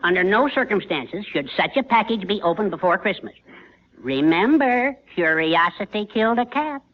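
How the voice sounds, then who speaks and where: An actor playing a meek, weary henpecked American neighbor with a fussy, plaintive, formal manner in 1940s radio comedy. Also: male voice, high-pitched, lively intonation, normal speed. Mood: prim, moralizing. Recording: muffled, noisy vintage radio broadcast.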